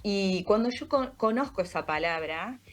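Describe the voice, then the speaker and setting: A woman from Argentina with a Rioplatense accent, calmly explaining her story as an interview guest and often tagging sentences with "¿no?". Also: medium pitch, normal speed. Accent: Rioplatense Spanish. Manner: reflective, explanatory, frequent "¿no?" tags. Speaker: podcast creator, guest.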